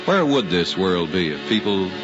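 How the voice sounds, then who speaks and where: An actor playing a reflective grown son who narrates in vintage radio drama style. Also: male voice, low voice, very animated, moderate pace. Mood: reflective, wry. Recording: muffled old radio recording over orchestral music.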